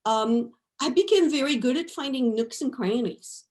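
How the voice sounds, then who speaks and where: A woman's voice: high voice, animated, moderate pace, a little mellow. A woman, a guest artist talking reflectively about her life, often adding "right?" and "you know". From France. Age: 50s. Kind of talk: reflective interview talk.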